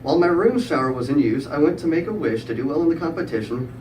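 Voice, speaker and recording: A middle-aged man tells a humorous story live, in a prepared style with wry, dry wit and comic pauses. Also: on the high side, animated, a little mellow. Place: live event room with audience. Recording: echoey room, distant mic, clear background noise.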